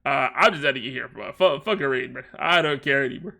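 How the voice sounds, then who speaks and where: A man's voice: mid-range voice, a little fast, creaky, bright, forceful tone, slight background noise. A man streaming a game, chatting loosely in slang while his throat is sore and strained. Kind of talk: casual livestream chat.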